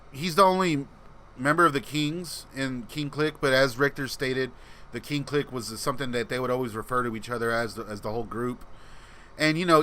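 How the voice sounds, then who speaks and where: A man's voice: medium pitch, pushed and bright. A man hosting a casual livestream, reading and answering chat comments in a laid-back, rambling way, with a voice people call soothing. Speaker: channel host reading live chat.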